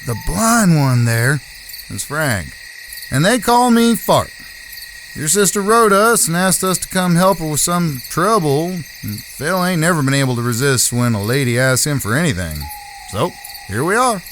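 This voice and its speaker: An actor playing a cocky, menacing young outlaw in a Western audio drama, with florid mock-polite talk. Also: male voice, fairly high pitch, big pitch swings, moderate pace, fairly bright tone, a little hiss or hum, low-quality recording. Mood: amused.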